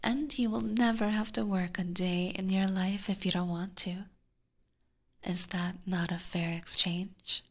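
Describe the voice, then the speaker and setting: A woman voicing a possessive, aristocratic vampire catgirl in a slow, seductive, teasing whisper for an ASMR roleplay, close on a binaural microphone. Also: medium pitch, steady tone. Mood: coaxing, imperious. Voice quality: breathy whisper. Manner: slow, formal, coaxing.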